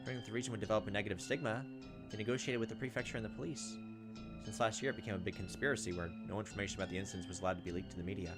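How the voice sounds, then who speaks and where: Fast-talking low-voiced man narrating a game. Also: fairly even intonation, over loud music. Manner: casual asides between read lines. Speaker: let's player reading game text aloud. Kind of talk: narrating and commenting over a visual novel.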